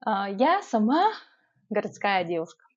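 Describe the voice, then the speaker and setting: A woman's voice: medium pitch, measured pace. Young woman, urban Yakut accent, chatty advice vlogger; slightly muffled, lo-fi recording. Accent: urban Yakut Russian. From Yakutia, Russia. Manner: chatty, lively, many filler sounds. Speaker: vlogger giving language-learning tips. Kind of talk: casual advice vlog.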